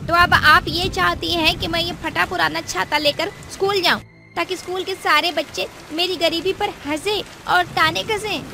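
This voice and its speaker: A woman's voice: very high-pitched, fairly even intonation, normal speed, gravelly. A woman playing a resentful thirteen-year-old schoolgirl who complains sharply in a story. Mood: angry, bitter. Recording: loud rain noise behind.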